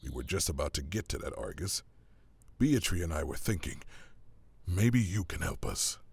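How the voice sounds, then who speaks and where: An actor playing an earnest god and worried brother in an audio drama, husky and gravelly, with a casual, coaxing, excitable manner. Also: male voice, deep voice, normal speed, no room sound, full character voice.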